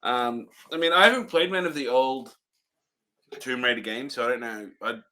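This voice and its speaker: A man's voice: mid-range voice, normal speed, bright, forceful tone. Easygoing young man co-hosting a review. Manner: easygoing, laughs often, reads notes. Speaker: co-host. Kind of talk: casual review chat.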